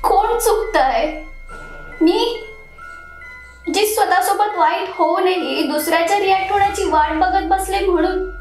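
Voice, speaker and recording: A woman's voice: very high voice, measured pace, long pauses. An actress playing a hurt and angry young woman commuter in a stage monologue, speaking in a bitter, accusing way. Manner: bitter, accusing, rising to anger. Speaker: actor in a one-act monologue. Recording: very echoey room, music underneath.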